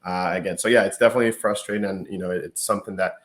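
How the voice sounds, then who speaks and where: A man with a fairly low voice talks through a reaction video with his co-host. He speaks in a loose, conversational way, stopping and restarting phrases as he works out his point. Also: steady tone, a bit bright. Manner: halting, repeats words while thinking. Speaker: realtor co-host. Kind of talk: casual reaction chat.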